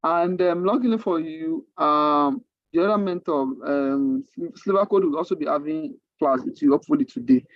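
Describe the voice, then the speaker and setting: A man with a Nigerian accent and Yoruba as his native language, mentoring a class in an informal, halting way, repeating himself and asking rhetorical questions. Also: a little high, expressive, no echo, lo-fi sound. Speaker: coding mentor. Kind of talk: informal online teaching.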